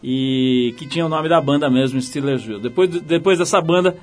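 A man's voice: fairly high pitch, normal speed, faint music behind. A man hosting a casual radio interview, joking and laughing as he asks questions. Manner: jokey, laughs while asking. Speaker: radio show host.

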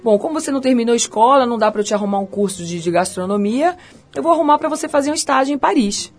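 A middle-aged woman with a Carioca accent, a chef and restaurant owner talking about her life as a guest on a radio interview, as a lively storyteller. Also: rapid-fire, slightly rough. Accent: Carioca Brazilian Portuguese. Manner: lively storyteller, pitch swinging high and low.

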